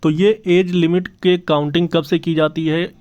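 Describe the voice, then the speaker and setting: Earnest man, smooth lo-fi voice. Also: a little high, level tone, moderate pace, a little mellow. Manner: earnest, repeats points, many fillers and restarts. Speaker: channel host giving job exam news. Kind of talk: casual news update to camera. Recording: slightly dull lo-fi audio.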